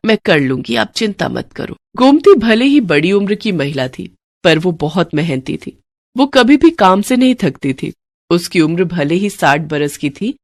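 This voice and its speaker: A woman's voice: fairly low pitch, no echo. A woman narrating a scripted cartoon story as a storyteller.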